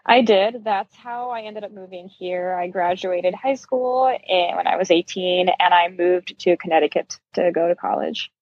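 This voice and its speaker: A woman's voice: on the low side, moderate pace, few pauses, darkish tone, no echo, lo-fi sound. A middle-aged woman from Brazil being interviewed, telling personal stories in a candid, self-deprecating, conversational way. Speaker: interview guest, yarn shop owner.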